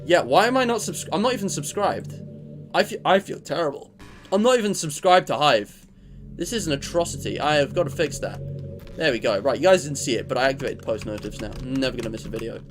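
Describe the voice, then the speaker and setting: A young male gamer streams live in a rambling, chatty way, cracking jokes at his own expense. His voice sits a little high. Clear game music runs behind him. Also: lively intonation, fast. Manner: rambling, chatty, self-mocking. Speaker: gaming streamer. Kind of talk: casual live-stream chat.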